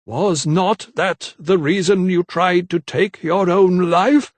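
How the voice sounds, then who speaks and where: A man's voice: sits high, no room sound, pitched above the performer's own voice. A man voicing a menacing, preachy old vicar. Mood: preaching. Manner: slow, unctuous, preaching. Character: zealous, menacing silver-haired old vicar.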